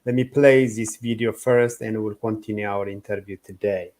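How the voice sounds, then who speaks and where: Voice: mid-range voice, steady tone, normal speed, background noise. A host opening the show in a casual, hesitant way with fillers.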